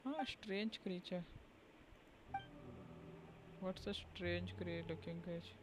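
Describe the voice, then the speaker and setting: A man's voice: high-pitched, fairly even intonation, takes it slowly, background noise. A man with an Indian accent, a Hindi speaker, giving casual, offhand live commentary as he streams a game. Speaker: game livestreamer. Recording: game music behind the voice. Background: clear video game music.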